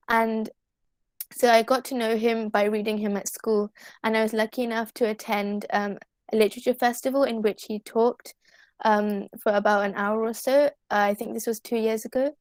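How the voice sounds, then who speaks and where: Teen british girl, highish voice, dry sound, polite and earnest, just chatting in an interview. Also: darkish tone, dry recording. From United Kingdom. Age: teenager, fifteen. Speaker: guest poet. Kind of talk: casual interview talk.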